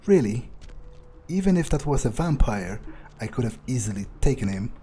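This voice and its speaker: A man's voice: mid-range voice, big pitch swings, moderate pace, dark tone. A man doing an in-character game playthrough as a self-assured, scornful vampire, thinking aloud in a hesitant, musing way. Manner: hesitant musing with muttered asides. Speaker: gameplay video player. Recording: noticeable background noise.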